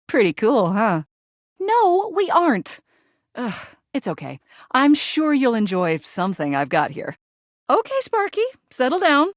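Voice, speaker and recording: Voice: mid-range voice, dry recording, studio quality. Upbeat chatty dating game character, breezy and casual, voice bouncing all over. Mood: cheerful, excited, then gently deflated. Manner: breezy, informal, expressive. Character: upbeat, chatty, easygoing video game date companion. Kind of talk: video game character dialogue.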